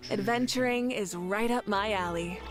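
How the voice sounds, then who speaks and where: A woman's voice: mid-range voice, close to an everyday voice. A woman voicing a composed, adventurous young female video game character.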